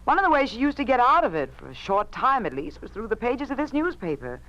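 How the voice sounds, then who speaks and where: An actress playing an indignant, outspoken women's-page editor in a 1950s radio drama, emphatic and articulate in protest. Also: female voice, fairly high pitch, very animated, fast talker, fairly bright tone. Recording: muffled old radio recording.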